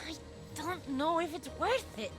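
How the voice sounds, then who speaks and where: A woman's voice: extremely high pitch, animated, a little slow, music in the background. A woman playing a childish, insecure goddess in a video game, with a hesitant, plaintive delivery. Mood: unsure. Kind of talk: animated video game acting.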